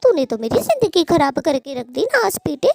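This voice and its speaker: Woman voicing a high-pitched scolding cartoon bird wife. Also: sing-song, normal speed, creaky, darkish tone, no echo, clean studio sound, full character voice. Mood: angry, threatening. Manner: scolding, threatening, dramatic. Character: scheming, quick-tempered female bird wife, spiteful and sharp-tongued. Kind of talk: Hindi cartoon story dialogue.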